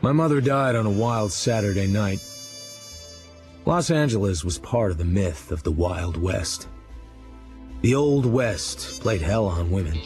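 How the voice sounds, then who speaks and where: An actor playing a brooding American crime writer, narrating a drama in a terse, hard-boiled, gravelly voice. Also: male voice, on the low side, darkish tone. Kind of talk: first-person scripted drama narration. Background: music.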